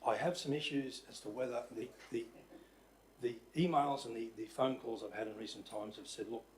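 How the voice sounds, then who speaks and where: A man with an Australian accent chairing a council meeting as mayor, his voice croaky, talking in a hesitant, polite way with many fillers. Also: medium pitch, a little fast, a little hiss or hum. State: croaky voice after illness. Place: council chamber.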